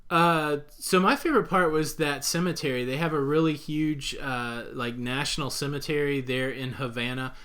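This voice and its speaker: A middle-aged man co-hosting a casual live Q&A stream, talking in a relaxed, rambling way with many uhs. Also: fairly high pitch, moderate pace, hardly any pauses. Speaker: co-host.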